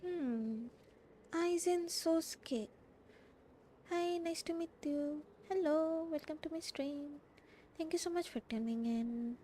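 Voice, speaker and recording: A woman's voice: high-pitched, slow pace, warm tone, slight background noise. A woman game streamer chatting casually on a live stream and reading along with the game. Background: game music.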